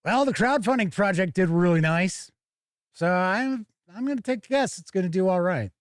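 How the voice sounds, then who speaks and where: Higher-voiced man, casual live unboxing commentary. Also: expressive, moderate pace, no room sound, clean studio sound. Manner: chatty, thinking aloud. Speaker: host of a tabletop game review stream.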